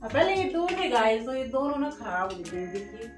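A woman's voice: a little high, sing-song, a little fast, roomy sound. A woman, chatting casually and repetitively as she vlogs at home on a phone camera. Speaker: vlogger. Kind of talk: casual family vlog chat. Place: living room at home. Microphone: handheld phone camera. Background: background music.

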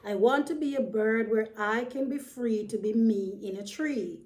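A woman's voice: fairly high pitch, darkish tone, lightly acted. A woman voicing a wistful young rat in a children's storybook, dreamy and rhyming. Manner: dreamy, slow, rhyming delivery.

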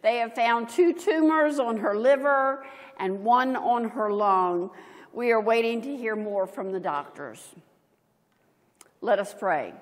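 An older woman, a pastor, reading prayers and liturgy in a solemn, measured manner, phrase by phrase, at a church lectern. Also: a little high. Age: older, 70s. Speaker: pastor leading worship. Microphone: gooseneck lectern microphone and clip-on. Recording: reverberant church hall.

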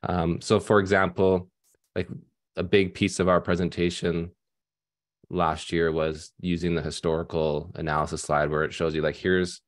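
A deep-voiced man in his thirties speaks over a headset mic on a video call from a room at home. He explains casually and loosely, full of fillers and restarts. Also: little pitch movement, moderate pace. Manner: conversational with many fillers and restarts. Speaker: financial advisor panelist. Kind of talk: casual panel discussion.

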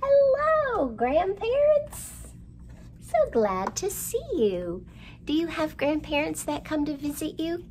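A woman's voice: high voice, big pitch swings, slow, darkish tone, slight background noise. A middle-aged woman hosting a children's storytime indoors, talking simply to young children with questions and exaggerated delivery. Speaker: children's librarian, storytime host. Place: indoor room, seen at a storytime backdrop.

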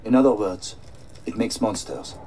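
An actor playing a grave army doctor in a cinematic game. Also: male voice, on the low side, animated, normal speed, far from the mic, background noise, natural voice. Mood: grave explaining.

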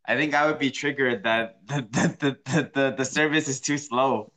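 A man's voice: mid-range voice, steady tone, normal speed, bright, forceful tone. A young man hosting a casual live stream from a room at home, talking close to his phone and teasing his guests with frequent laughs. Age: young adult. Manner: teasing, laughs often, plays an irate customer. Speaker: livestream host. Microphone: phone held close.

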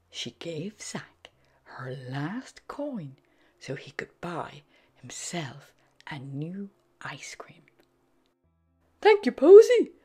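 A woman reads a storybook to small children over music. Her pitch moves in lively sweeps, and her voice is breathy. She takes it very slowly, in an animated, sing-song style. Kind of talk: expressive scripted storybook read-aloud for young children. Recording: background music under the voice.

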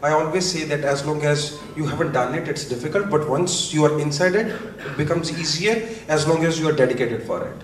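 A man's voice: on the high side, brisk, hardly any pauses. A man moderating a panel, speaking enthusiastically and laughing as he talks. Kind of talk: panel discussion. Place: large conference hall stage, seated panel. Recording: echoey hall, distant mic, faint noise.

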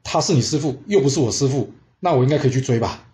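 A man's voice: medium pitch, lively intonation, fast, mellow, natural voice. A man storyteller voicing a proud, defiant archer apprentice with a brash, dismissive manner. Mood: indignant.

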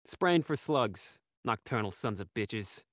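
A man's voice: on the low side, animated, slow, a bit bright, dry recording, clean studio sound. A man playing a bitter working-class gardener and war veteran in a radio mystery, curt and resentful. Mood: grumbling. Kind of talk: radio mystery acting, live online table read.

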